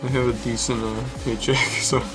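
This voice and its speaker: A relaxed young man streaming, walking viewers through code in a flat, easygoing way with lots of filler words. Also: medium pitch, moderate pace. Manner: monotone, relaxed, lots of "like" and "basically". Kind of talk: casual coding stream walkthrough. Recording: music playing under the voice. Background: music and keyboard typing.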